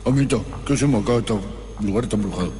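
A man's voice: medium pitch, racing, dark tone, close to an everyday voice. A man with an Argentine accent playing an earnest, rebellious colonial slave in a comedic radio play. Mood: uneasy. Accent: Argentine Rioplatense.

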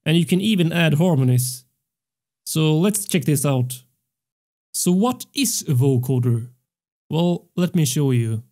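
A young man hosting a music production tutorial, explaining step by step in a measured way into a close studio mic. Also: fairly high pitch, animated, warm tone. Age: young adult. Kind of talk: tutorial narration. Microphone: close studio microphone on a stand.

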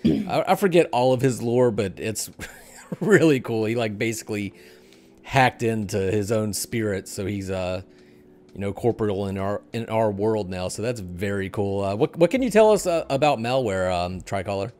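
A man's voice: fairly low pitch, lively intonation, normal speed, a little mellow. A middle-aged man hosting a casual video game talk show on a headset mic. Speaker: podcast host. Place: indoor room at home.

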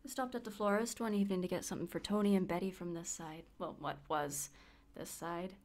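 A woman's voice: fairly low pitch, brisk, a little mellow, close to an everyday voice. An actress playing a weary, candid woman, delivering a confiding, wry monologue in a radio play. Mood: wistful, reflective. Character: Molly, weary, candid woman recovering partial sight.

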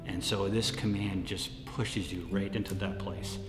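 A man's voice: low-pitched, fairly even intonation, a little slow, loud music behind the voice. A man in his fifties, a pastor giving a short devotional talk to camera. He is earnest and chatty, laughs often and uses many fillers. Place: small indoor room with plants. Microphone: desk camera, head and shoulders framing. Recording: echoey room with long reverb.

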